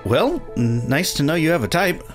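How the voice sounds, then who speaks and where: A man voicing an earnest, awkward, stammering young man in an animated fan series. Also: on the high side, normal speed. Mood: awkward, flustered. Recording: background music under the voice.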